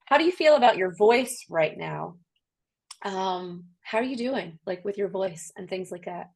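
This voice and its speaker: A woman's voice: medium pitch, expressive, unhurried, creaky, darkish tone. Chatty woman on a live call. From Ireland. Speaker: singer and co-host. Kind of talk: casual live-stream chat.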